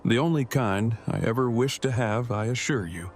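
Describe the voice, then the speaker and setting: A man playing a formal, wordy, deadpan young father and husband in an audio drama, with precise phrasing. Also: medium pitch, normal speed, soft background music, natural voice. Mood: earnest, formal. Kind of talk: fan audio drama acting.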